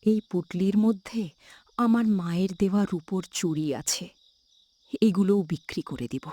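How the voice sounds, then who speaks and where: A woman's voice: medium pitch, normal speed, mellow, dry recording, natural voice. A woman voicing a self-sacrificing elder co-wife in a village cartoon drama, speaking earnestly and tenderly. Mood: tearful resolve.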